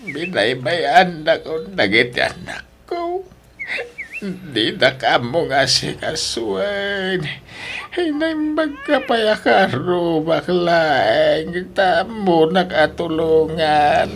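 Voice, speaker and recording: Ailing old father, weak halting tearful speech, very slow, swooping pitch, audio drama. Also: very animated, gravelly, fairly bright tone, slight background noise, exaggerated voice. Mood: plaintive. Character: frail, ailing old father, pleading and remorseful. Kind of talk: Ilocano audio drama acting. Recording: music behind the voice.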